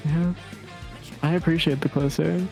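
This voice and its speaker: Low, creaky-voiced woman streamer. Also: fairly even intonation, a little slow, music in the background. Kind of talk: casual chat and reading game text aloud on a livestream.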